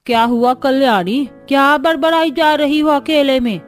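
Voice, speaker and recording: A woman's voice: high-pitched, measured pace, pitched above the performer's own voice. A woman puts on an affectionate old grandmother from a cartoon family tale. She speaks with trusting, kindly fondness, with music behind her. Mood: curious. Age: older. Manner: affectionate, blessing.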